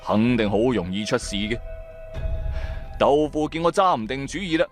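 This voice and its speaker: An animated male narrator with a Cantonese accent, his pitch moving widely as he tells a scripted tale in the first person. Music plays behind his mid-pitched voice. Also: moderate pace.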